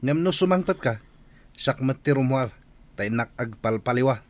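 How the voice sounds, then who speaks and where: A man's voice: medium pitch, lively intonation, normal speed, no room sound, close to an everyday voice. A man with an Ilocano accent playing an idle, surly young village husband in a radio drama. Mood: irritated.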